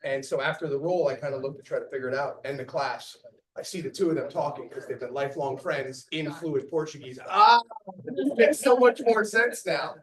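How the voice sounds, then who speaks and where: A man's voice: medium pitch, a bit bright. A man telling a story about a training partner in a chatty way, speaking to his gym. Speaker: gym member. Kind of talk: casual speech to the gym. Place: martial arts gym. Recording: echoey gym from far off.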